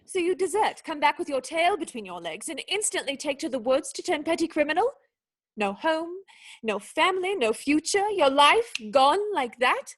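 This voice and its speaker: A woman plays a young noblewoman in a radio drama, high-pitched and proud. She scolds in articulate, cutting phrases, indignant and wounded underneath. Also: sing-song, normal speed, a bit bright. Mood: angry, accusing. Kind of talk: radio play acting.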